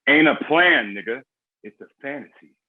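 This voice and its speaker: An actor playing a cocky, foul-mouthed crime boss with swaggering street talk in a screenplay table read. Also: male voice, mid-range voice, lively intonation, moderate pace, breathy edge, narrow, tinny audio. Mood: taunting.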